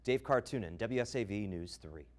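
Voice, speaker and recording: A man reading scripted television news as a reporter and anchor, with a punchy, emphatic delivery and dramatic pauses. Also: fairly low pitch, lively intonation, no echo.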